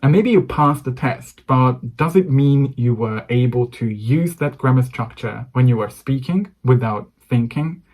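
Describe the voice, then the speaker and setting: A man's voice: medium pitch, a little slow, few pauses, a little mellow. A young man with a Hungarian accent, a native Hungarian speaker, giving an encouraging to-camera English lesson. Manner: encouraging, direct address to viewer. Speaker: English coach.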